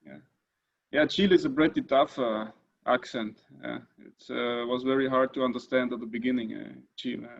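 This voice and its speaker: Man, english, hesitant, lots of fillers, mid pitch, muffled call audio. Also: steady tone, moderate pace, creaky. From northern Italy, German-speaking minority. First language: German. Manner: hesitant, many uh fillers and restarts. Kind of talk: casual video call chat.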